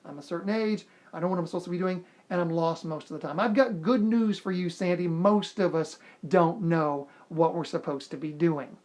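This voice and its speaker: An earnest man speaks straight to his viewers with motivational advice. He encourages them warmly and repeats his key lines to drive them home. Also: fairly high pitch, a little fast, hardly any pauses. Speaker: motivational video host. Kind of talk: motivational self-help talk to camera.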